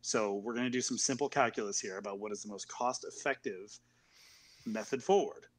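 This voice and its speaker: A man playing a tired, officious spaceship captain in his thirties in an online roleplay, speaking in halting procedural language. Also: fairly low pitch, natural voice. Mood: firm, procedural. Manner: halting, procedural, bureaucratic delivery. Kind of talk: improvised online tabletop roleplay.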